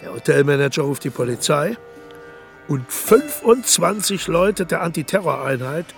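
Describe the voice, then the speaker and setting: An actor playing an eccentric aging dictator who waves off scandals as trivial, in a radio drama. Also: male voice, on the high side, expressive, moderate pace, creaky. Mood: dismissive. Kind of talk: radio play acting and voice-over translation. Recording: radio play with music underneath.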